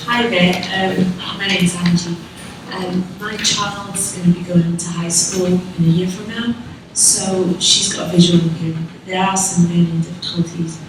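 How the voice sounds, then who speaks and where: A woman's voice: on the low side, little pitch movement, unhurried, noisy background. A woman, a parent, asking a hesitant question from the audience at a conference, searching for her words. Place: conference hall. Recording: echoey hall, distant mic.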